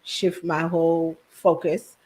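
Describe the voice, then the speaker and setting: A woman from Haiti raised in New Jersey, a business owner telling her story softly as a guest on a video call. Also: low voice, level tone, takes it slowly, rough recording. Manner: soft-spoken storytelling with laughs, quiet. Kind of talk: casual interview chat.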